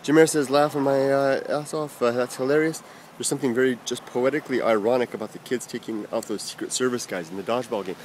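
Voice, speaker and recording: A man from the United States rambling through viewer comments in a casual vlog, halting with many fillers and restarts. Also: medium pitch, steady tone, a little mellow, slight background noise. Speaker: vlogger answering viewer comments.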